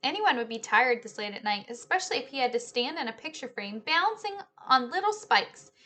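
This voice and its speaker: A woman in her thirties reading a children's story aloud in an expressive, animated way, indoors on a webcam. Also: sits high, a little fast. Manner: animated, hushing and swelling with the story. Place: small living room, indoors. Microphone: webcam at desk distance.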